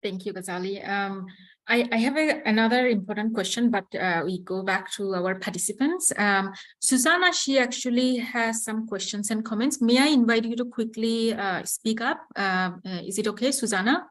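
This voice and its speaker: A woman's voice: on the high side, moderate pace. A woman moderating a webinar on a video call, politely handing the floor to participants with a few hesitant fillers.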